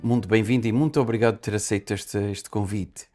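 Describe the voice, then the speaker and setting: A man's voice: fairly low pitch, steady tone, fast, a little mellow, soft background music. A man hosting a community talk show, politely welcoming his guest and setting up the conversation with a few fillers. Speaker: podcast host, interviewer. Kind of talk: welcoming interview host.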